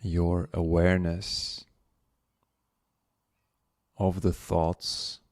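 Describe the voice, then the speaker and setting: Slow, very low-voiced man guiding meditation. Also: little pitch movement, no room sound, clean studio sound. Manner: gentle, unhurried, with long pauses. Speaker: meditation guide. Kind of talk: guided meditation.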